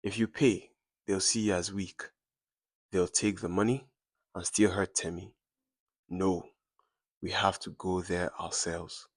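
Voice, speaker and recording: A man voicing a grim, hard-nosed fixer with underworld connections, dark, measured and decisive, in a dramatic story. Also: deep voice, steady tone, no room sound. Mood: grim resolve.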